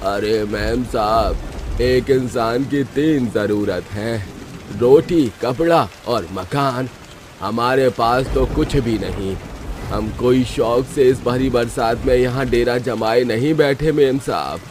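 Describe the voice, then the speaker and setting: A man voicing a destitute, weary beggar in a storybook tale, deferential and plaintive, halting with emphasis. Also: medium pitch, noisy background, natural voice. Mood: weary complaint. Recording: music behind the voice.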